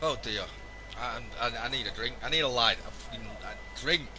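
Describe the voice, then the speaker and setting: A man commentating a live sim racing stream, hoarse and losing his voice, joking wearily with the chat. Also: mid-range voice, normal speed, noisy background. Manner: weary, self-mocking banter with the chat.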